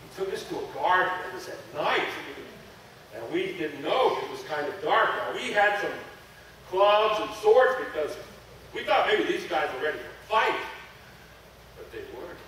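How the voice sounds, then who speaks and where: An actor playing an excitable ancient servant man, chatty and breathless, full of exclamations and laughter. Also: sits high, moderate pace, slight background noise. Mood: storytelling. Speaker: actor in a character monologue. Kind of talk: first-person dramatic monologue. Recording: echoey room, mic far off.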